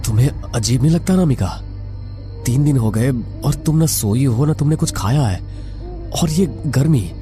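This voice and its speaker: A man's voice: medium pitch, lively intonation, moderate pace, mellow, faint music behind, pitched above the performer's own voice, close to an everyday voice. A man playing an uneasy, sceptical young traveller, earnest and hesitant, in a horror audio story.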